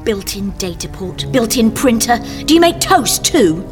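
An actress playing a defiant, sharp-tongued woman in an audio drama, snippy and sarcastic. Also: on the high side, sing-song, unhurried, music in the background.

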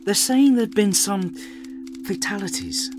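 A man's voice: high-pitched, animated, a little slow, background noise. An actor playing a worried male reporter in an audio drama, speaking hesitantly. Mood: uneasy.

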